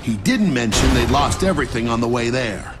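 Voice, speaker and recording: An actor playing a dry, sardonic army lieutenant recounting battles in a video game. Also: male voice, medium pitch, expressive, brisk, noisy background, natural voice. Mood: wry narration. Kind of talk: video game acting and narration. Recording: game music behind.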